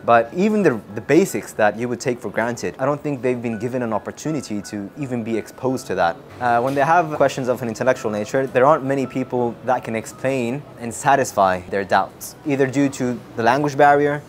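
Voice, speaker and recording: A young man from Japan giving thoughtful, measured interview answers, often pausing and restarting. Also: mid-range voice, a little hiss or hum. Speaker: interviewee, religion student.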